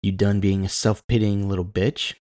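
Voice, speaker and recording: A man's voice: lowish voice, level tone, normal speed, darkish tone, dry recording, clean studio sound, close to an everyday voice. A man voicing a fierce, blunt but caring tough woman who swears and challenges, in an audio story. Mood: scornful.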